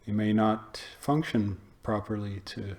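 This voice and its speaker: A man guiding a meditation, speaking in short phrases with pauses between them. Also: on the low side, darkish tone. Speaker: meditation teacher. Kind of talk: guided meditation talk.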